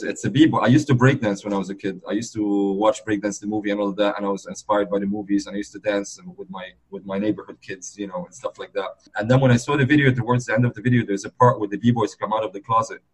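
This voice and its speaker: Husky-voiced man from Lebanon, easygoing. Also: on the low side, poor audio. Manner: easygoing, rambling, frequent "like" and "you know". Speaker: guest DJ. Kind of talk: relaxed conversational interview.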